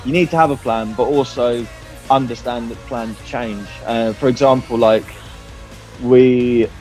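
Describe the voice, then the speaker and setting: A man's voice: medium pitch, steady tone, measured pace, darkish tone, soft background music, rough recording. A man chatting informally and candidly in an interview as a band musician, in a rambling way. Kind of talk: casual interview chat.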